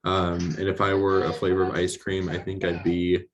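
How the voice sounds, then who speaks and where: A man with a very deep, flat, gravelly voice introduces himself to an online workshop, hesitant and full of ums. Voices chatter clearly in the background. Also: moderate pace, lo-fi sound. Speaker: workshop participant. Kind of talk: casual self-introduction.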